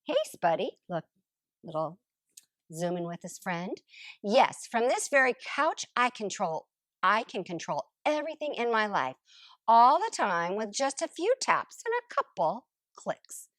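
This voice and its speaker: A woman voicing a smug, lazy couch potato in an expressive, theatrical storybook read-aloud. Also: medium pitch, no room sound, studio quality. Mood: self-satisfied. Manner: theatrical, exclamatory, drawn-out emphasis. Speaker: storybook narrator character. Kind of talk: expressive first-person picture book read-aloud.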